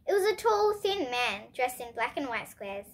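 A young girl reading a children's story aloud as host, expressively and deliberately, with dramatic pauses. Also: high-pitched, big pitch swings.

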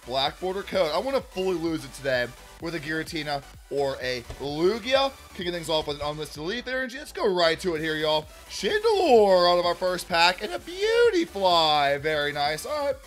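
A man's voice: a little high, very animated, normal speed, few pauses, soft background music. A man in his thirties hosting a card-opening video, upbeat and talking to viewers as "y'all" while losing his voice. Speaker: card-opening channel host. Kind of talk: excited card unboxing chat. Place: indoor room at a table.

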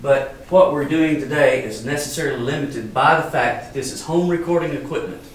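A man's voice: medium pitch, brisk. An older man gives an informal, folksy explanatory talk as an archivist demonstrating to a small group. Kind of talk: informal live lecture. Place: wood-paneled salon with small audience. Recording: echoey room, distant mic, faint noise.

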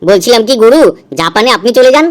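A woman's voice: fairly high pitch, animated, fast talker, background noise, natural voice. A woman voicing a meek, coaxing male sidekick in a comedy cartoon, deferential to the boss.

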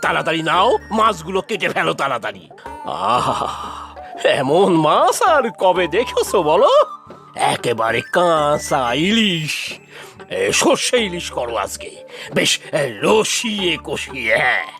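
A woman's voice: sits low, sing-song, unhurried, fairly bright tone, soft background music, exaggerated voice. A woman voicing a boastful, hot-tempered middle-aged family man in a cartoon, exclaiming and savouring his words. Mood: excited. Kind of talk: animated cartoon dialogue.

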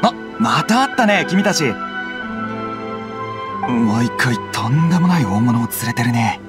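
An actor playing a friendly, earnest young food stall owner in a game. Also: male voice, medium pitch, very animated, a little slow. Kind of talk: Japanese game voice acting. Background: game music.

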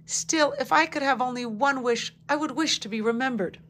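Woman doing a soft wistful flower lady from a picture book, a bit higher than her own voice, faint hum. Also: normal speed. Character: gentle wistful female dandelion.

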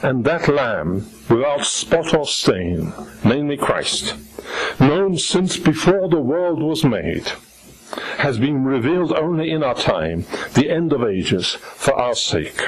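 Older husky man lecturing, native Polish speaker. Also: mid-range voice, rough recording. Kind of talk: formal scripted theological lecture. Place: lecture stage at a lectern, indoors. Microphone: gooseneck lectern microphone.

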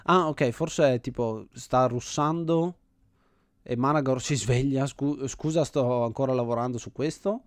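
A man's voice: mid-range voice, measured pace, no echo, clean studio sound. A man hosting a gaming channel, giving casual let's play commentary, thinking aloud with enthusiasm.

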